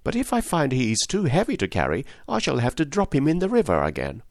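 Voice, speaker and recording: A man voicing a kind, brisk mother stork in a storybook reading. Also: mid-range voice, huge pitch swings, no room sound, studio quality, pitched above the performer's own voice.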